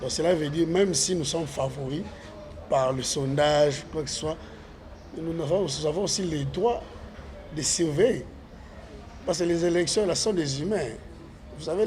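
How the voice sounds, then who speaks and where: A man's voice: on the high side, normal speed, darkish tone. Earnest man making a campaign appeal. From Congo. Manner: earnest, repetitive, rallying tone. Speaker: parliamentary candidate. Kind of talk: interview answers, campaign appeal.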